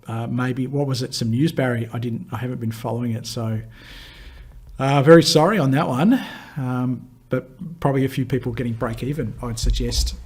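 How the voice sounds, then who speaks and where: Man, husky, hoarse from losing his voice, chatty, rambling, lots of fillers, mid-pitched. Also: darkish tone. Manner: chatty and rambling, many fillers and restarts, quick laughs. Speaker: market analyst hosting a viewer Q&A. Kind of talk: live chart commentary answering viewer questions.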